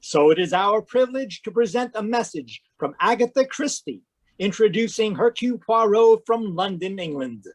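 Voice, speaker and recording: A man's voice: high voice, lively intonation, moderate pace. A man announcing an old-time radio mystery show in a grand, declaiming style over a video call. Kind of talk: 1940s radio acting.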